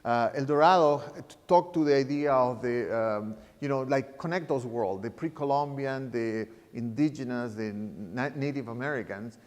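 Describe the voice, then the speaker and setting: A man in his fifties from Chile, talking reflectively about his work as an artist, halting and often restarting his phrases as he searches for words. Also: mid-range voice, darkish tone. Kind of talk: reflective interview talk. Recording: reverberant room sound.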